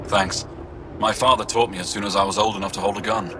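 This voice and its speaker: A man's voice: on the low side, steady tone, quick pace, lightly acted. A man playing an earnest, dutiful young alien sharpshooter in a video game, plain-spoken and eager. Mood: reserved, wistful. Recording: game ambience with vehicle noise.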